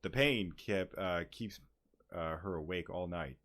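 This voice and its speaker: Deep-voiced man reading game text aloud. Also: unhurried, a bit bright. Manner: reads dialogue aloud, breaks off to react and swear. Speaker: gaming streamer.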